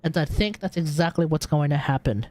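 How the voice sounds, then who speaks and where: A woman's voice: low-pitched, fairly quick, noisy background. A woman doing casual live game commentary as a streamer, slangy and opinionated, reacting aloud.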